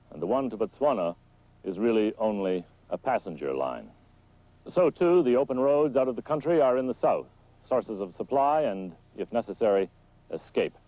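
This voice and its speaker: A man's voice: on the low side, no echo, highs cut off. A man reading a scripted news report as a reporter, on 1970s newsreel film sound.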